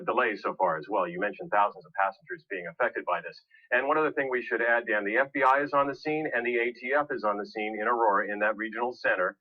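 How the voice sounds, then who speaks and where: An American man reporting breaking news live in the manner of a broadcast correspondent. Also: mid-range voice. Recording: dull, muffled broadcast audio.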